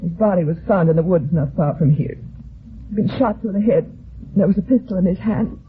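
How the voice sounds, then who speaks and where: A woman playing an agitated grieving widow, halting and pleading, in an old-time radio detective drama. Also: on the low side, slight background noise, lightly acted. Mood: defensive, indignant. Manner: halting, breathless protests and repeated denials. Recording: tinny band-limited vintage radio broadcast.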